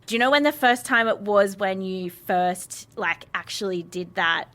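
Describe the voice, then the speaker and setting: A woman's voice: mid-range voice, moderate pace, a bit bright. A woman chatting casually, asking questions and reacting as a podcast host. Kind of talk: casual chat.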